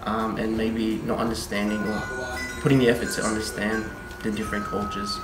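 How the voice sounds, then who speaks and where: A young man, speaking in a relaxed interview with frequent ums and likes. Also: on the low side, a little fast. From Australia, Tongan parents. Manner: hesitant, many ums and likes. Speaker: interviewee, husband. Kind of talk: casual interview chat. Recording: echoey room with loud music.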